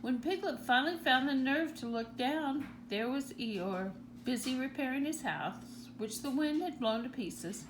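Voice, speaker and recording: A woman reading a children's storybook aloud in an animated narrating style. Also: high-pitched, measured pace, hardly any pauses, over music, poor audio. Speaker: storybook reader.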